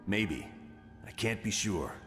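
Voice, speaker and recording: An actor playing a stern, curt colonel who gives mission briefings in a video game cutscene. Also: male voice, fairly low pitch, animated, slow pace, music in the background. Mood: stern, businesslike. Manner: curt, authoritative. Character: stern, terse male colonel running a covert agency. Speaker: military intelligence commander giving briefings.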